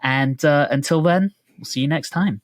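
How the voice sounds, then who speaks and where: A man's voice: on the high side, moderate pace, no echo. Male podcast host, dry close sound. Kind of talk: casual podcast chat.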